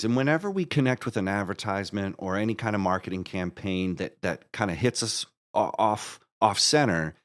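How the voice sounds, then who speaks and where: A man speaking as a podcast guest, giving conversational answers in an earnest, halting way with emphatic restatements. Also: lowish voice, a bit bright. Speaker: podcast guest, musical creator.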